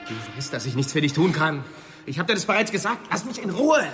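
An actor playing a cornered, hostile man, gravelly and snapping, in a radio drama. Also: on the high side, big pitch swings, fast talker. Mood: agitated, hostile. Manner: agitated, snapping. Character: cornered, hostile man angrily denying guilt. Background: music.

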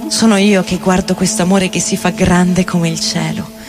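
A woman giving a theatrical recitation of poetry in deliberate, short phrases. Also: lowish voice, flat delivery, creaky. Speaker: theatre reader and vocal performer. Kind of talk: poetic theatrical recitation over music. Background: experimental guitar and electronic loops.

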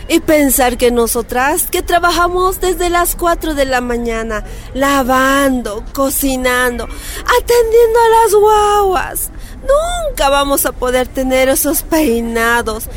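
A gossipy, feisty miner's wife chatters in a radio drama. Her high voice leaps around expressively over faint music, colloquial and full of exclamation. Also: animated, slow. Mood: dreamy, wistful.

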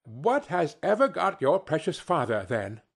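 A man as fussing, high-pitched Victorian mother. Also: big pitch swings, measured pace, breathy edge, heavily acted. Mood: impatient fussing. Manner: bustling, exclamatory, homely. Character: warm-hearted, fussing working-class Victorian mother.

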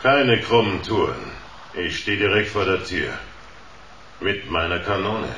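An actor playing a gruff, curt, threatening armed warder in a radio drama. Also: male voice, sits low, animated, unhurried, pushed and bright, reverberant, far from the mic, slight background noise, heavily acted. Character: gruff, menacing male ward guard with a pistol.

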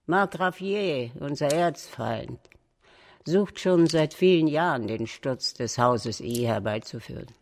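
An actress playing a thin, entranced aristocratic widow in a German radio drama, speaking in a stately, formal, measured way. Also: female voice, deep voice, expressive, heavily acted. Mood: haughty, cold. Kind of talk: German radio play acting.

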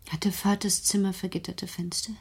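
Breathy, haunted, paranoid daughter. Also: female voice, mid-range voice, little pitch movement, normal speed. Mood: dark, probing. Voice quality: breathy, whispery. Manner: hushed, brooding, intense. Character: fearful, paranoid, reclusive daughter haunted by persecution. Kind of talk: German literary radio drama acting.

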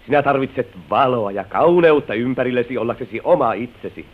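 A man's voice: mid-range voice, expressive, close to an everyday voice. An actor playing a persuasive, impatient lover tempting a married woman, with coaxing, theatrical old radio drama delivery. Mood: ardent, persuasive. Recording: old muffled narrow-band radio recording.

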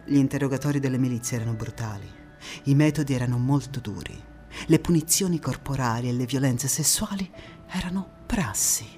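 An actress playing a passionate young Italian antifascist woman, recounting her life in a theatrical monologue with dramatic pauses and emphatic repetitions. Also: extremely low pitch, warm tone, soft background music. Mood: grave, hushed. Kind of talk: theatrical stage monologue, first-person storytelling.

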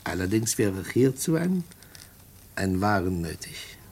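An actor in a German radio play playing a suave, condescending gang boss, urbane and falsely courteous, with deliberate hesitations. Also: male voice, low-pitched, sing-song, a little mellow, full character voice. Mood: smug, musing.

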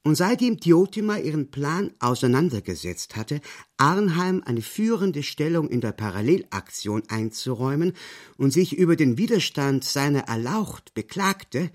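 Husky, expressive male narrator. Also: on the high side. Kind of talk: scripted literary narration for an audio drama.

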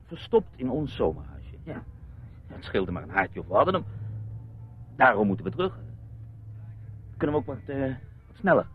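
An actor playing an urgent, impatient, hot-tempered journalist husband in a Dutch radio play. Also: male voice, mid-range voice, very animated, moderate pace, pauses often, natural voice. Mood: tense. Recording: dull, muffled old radio recording.

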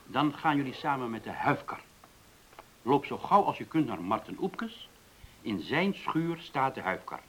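A man's voice: medium pitch, big pitch swings, a little slow, a bit bright. A male actor playing an authoritative village mayor in a radio play, speaking formally and emphatically as he gives orders. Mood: stern, commanding. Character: commanding village mayor giving brisk orders. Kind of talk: radio drama acting.

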